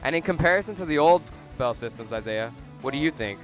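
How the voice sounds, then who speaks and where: Quick teenage male reporter over music. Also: on the high side, lively intonation. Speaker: student news reporter. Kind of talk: scripted school news reporting.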